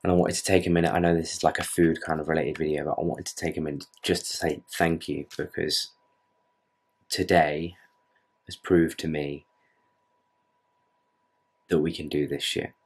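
Young british guy rambling to his cam in his bedroom, low husky voice, swears a lot. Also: flat delivery, moderate pace, long pauses. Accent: British English. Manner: rambling, earnest, swears casually. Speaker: fitness vlogger. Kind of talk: casual vlog talk to camera. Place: bedroom at night, camera close up.

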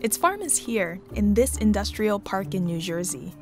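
A woman's voice: mid-range voice, very animated. Woman narrating a news feature. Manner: measured, clear voice-over delivery. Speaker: reporter and host. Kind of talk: scripted documentary narration. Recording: voice-over with background music.

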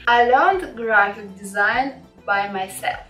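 A young woman with a Russian accent, teaching beginners graphic design software in friendly, simple English with small slips, from a small home office. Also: medium pitch, takes it slowly, reverberant, distant mic, faint music behind, poor audio.

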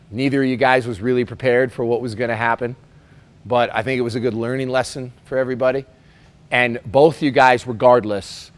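A middle-aged American man, a gym instructor, addressing a group in an emphatic, informal way. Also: medium pitch. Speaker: gym instructor leading a challenge. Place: large gym hall with onlookers.

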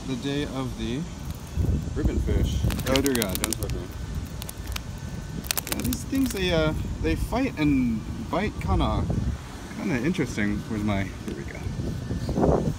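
Male fishing vlogger, casual and halting, mid-pitched, recorded outdoors over very loud background noise. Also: sing-song, distant mic. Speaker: angler vlogger. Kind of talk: casual handheld fishing vlog. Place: outdoors at night on a waterside concrete edge. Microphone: handheld camera or phone, voice off camera.

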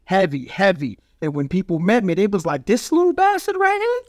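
A man's voice: high-pitched, sing-song, a little fast, dry recording. A middle-aged man with a New York accent, telling bawdy street stories in a brash, boastful, slangy way on a studio mic. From Brooklyn, New York. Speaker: guest, street graffiti artist. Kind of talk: bawdy street storytelling in casual podcast talk. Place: small studio with foam panels. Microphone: studio mic on a boom arm.

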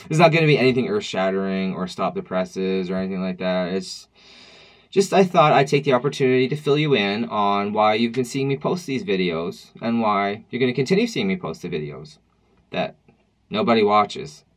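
A man's voice: lowish voice, animated, moderate pace. Reflective, wry man in his thirties. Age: 30s. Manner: reflective, wry, conversational with frequent restarts. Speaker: vlogger. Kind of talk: personal vlog monologue. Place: dim room at home, indoors.

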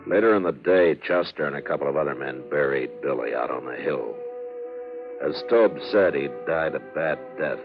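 Very deep-voiced American frontier marshal. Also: male voice, narrow, tinny audio. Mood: somber narration. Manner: terse, measured questioning. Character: stern, watchful frontier marshal, a grown man. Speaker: actor, lead in radio western. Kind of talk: 1950s radio western acting. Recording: band-limited 1950s radio recording with music.

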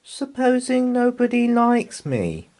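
A man voicing a small anxious little girl in a storybook, in a timid, high voice. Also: wildly swooping pitch, slow, darkish tone, heavily acted.

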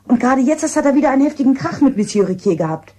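Soft husky village woman, guilt-ridden. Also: high voice, lively intonation, very fast. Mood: suspicious. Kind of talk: radio crime drama acting.

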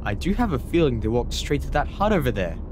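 A man's voice: medium pitch, very animated, fast talker, natural voice. A man voicing an earnest, upbeat, determined cartoon object who speaks encouragingly. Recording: clear background noise.

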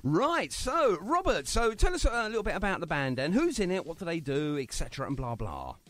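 A man's voice: sits high, big pitch swings, a little fast, a bit bright. A man hosting a radio show, chatty and jokey, making puns as he interviews a guest. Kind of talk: casual radio chat and interview.

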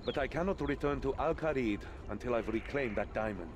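A man's voice: medium pitch, brisk, background noise, natural voice. A man voicing a cocky, teasing young adventurer hero in a video game, playful and theatrical. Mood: wry, upbeat.

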